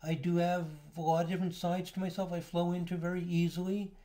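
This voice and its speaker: A man giving a relaxed, explanatory lecture over slides, now and then pausing on filler words. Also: sits high. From United States. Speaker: astrology lecturer.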